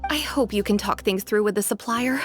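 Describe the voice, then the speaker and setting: A woman's voice: mid-range voice, fairly quick, faint music behind, close to an everyday voice. A woman playing an earnest, polite young female shop assistant in a video game. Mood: earnest, hopeful. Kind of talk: scripted video game dialogue.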